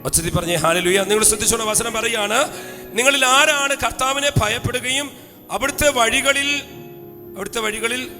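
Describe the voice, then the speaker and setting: A man preaching a fiery sermon, urging the congregation again and again to shout hallelujah. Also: very high-pitched, fairly even intonation, moderate pace, pauses often. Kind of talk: fiery sermon with call-and-response. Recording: long hall reverb with faint music.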